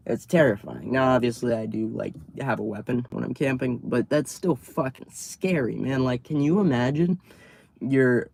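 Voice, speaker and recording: A man reading horror stories on a live stream, outdoors at night, casual and tripping over words, his voice going hoarse. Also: mid-range voice, darkish tone. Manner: swears freely, stumbles over words. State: losing his voice.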